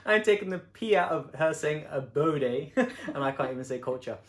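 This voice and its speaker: A man's voice: a little high, lively intonation, unhurried. A man, hosting a casual interview with playful, joking banter. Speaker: host interviewing his partner. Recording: echoey room.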